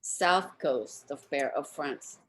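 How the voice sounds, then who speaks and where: Low-voiced woman hosting a chat. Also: slow pace, fairly bright tone, slight background noise, poor audio. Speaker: show host. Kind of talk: casual interview chat, wrapping up.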